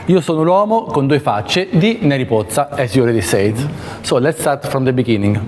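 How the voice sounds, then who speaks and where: Man, Italian accent, native Italian speaker, interviewer, halting English, faint background noise. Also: mid-range voice, animated, a little mellow. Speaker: interviewer host. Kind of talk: interview questions. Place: book fair hall. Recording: reverberant hall with faint noise.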